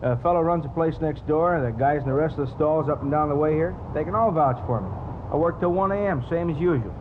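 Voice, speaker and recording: A man acting as a folksy American working man who runs a food stand, in a vintage radio drama. His voice is fairly high and casual, on a tinny, noisy old recording. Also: fast talker, lightly acted. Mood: explaining. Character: male food stand operator, plain-spoken, obliging, puzzled working man.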